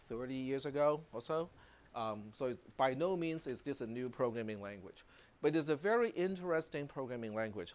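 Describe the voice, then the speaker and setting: A man whose first language is Cantonese teaches a university programming class. He talks in a relaxed, chatty way, full of fillers, at a middle pitch. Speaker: university computer science lecturer. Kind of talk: live classroom lecture.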